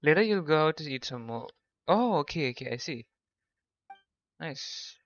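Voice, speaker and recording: A man's voice: on the high side, animated, normal speed. A man hosting a casual gaming livestream, chatting with viewers in a half-distracted way. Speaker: game streamer, host. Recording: dull, lo-fi microphone sound.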